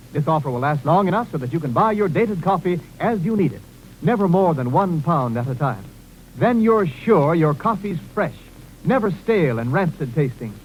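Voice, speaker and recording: A man's voice: high-pitched, slight background noise, rough recording. A man reading a scripted 1930s radio commercial as announcer, with an emphatic sales delivery. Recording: vintage 1930s radio broadcast recording.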